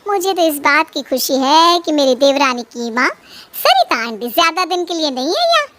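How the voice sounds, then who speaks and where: A woman voicing a scheming, mocking elder daughter-in-law in an animated family story. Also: high-pitched, normal speed. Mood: gossipy. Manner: taunting and sneering.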